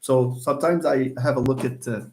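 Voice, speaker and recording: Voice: mid-range voice, steady tone, brisk, darkish tone. A university lecturer giving a live online lecture, emphatic, repeating phrases and speaking straight to students.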